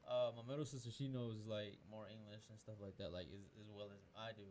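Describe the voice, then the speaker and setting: A man's voice: lowish voice, little pitch movement, fast. Podcast host, man in his 20s from Peru, profane and loose, lots of restarts, faint hiss. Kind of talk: casual chat.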